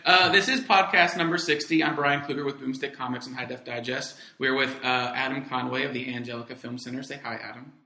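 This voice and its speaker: Bright-voiced male podcast host, roomy sound. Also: mid-range voice, steady tone, creaky. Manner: hosting, steering the talk with quick questions. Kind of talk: casual chat.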